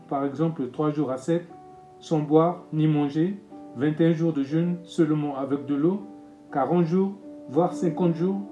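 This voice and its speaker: Man, fifties, pastor, solemn measured reading, echoey room, faint guitar behind. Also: a little high, warm tone, muffled recording. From Congo-Brazzaville. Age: 50s. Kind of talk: read personal testimony. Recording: echoey room with music bed.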